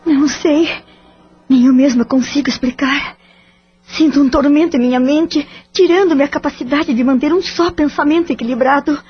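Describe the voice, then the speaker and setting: A distressed mother struggling with a tormented mind, played by a woman in a high voice. Her lines come through dull, lo-fi audio like a dubbed radio drama. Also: moderate pace, close to an everyday voice. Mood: anguished. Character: troubled mother tormented by spirits.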